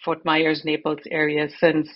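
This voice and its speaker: A woman's voice: low voice, completely flat delivery, normal speed, muffled recording. A middle-aged woman with a German accent, a real estate broker chatting as a podcast guest, emphatic and full of fillers. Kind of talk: casual interview chat.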